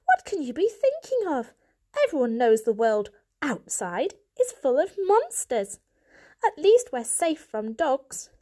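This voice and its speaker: A woman's voice: sits high, wildly swooping pitch, unhurried, warm tone, voice pushed up, heavily acted. A woman voicing a fussy mother cat who scolds and tuts indignantly, in a storybook reading. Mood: alarmed. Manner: tutting, indignant.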